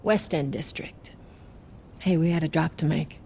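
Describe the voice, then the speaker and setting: A tough female smuggler in a gritty game. She speaks bluntly, with cool, wry confidence and a hard-bitten edge. Faint rumbling noise lies underneath. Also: measured pace, gravelly, a little mellow. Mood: wry, casual. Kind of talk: naturalistic dramatic game acting.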